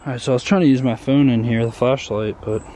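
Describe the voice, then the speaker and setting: A young man in his twenties vlogging from a wooded trail, later from his apartment, on a handheld phone. He talks in an easygoing, unsure way and fills his pauses with "uh". His voice sits in an ordinary middle register, with faint background noise. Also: mid-range voice. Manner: relaxed, uncertain, many fillers. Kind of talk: casual vlog walkthrough. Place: wooded trail outdoors, then apartment living room.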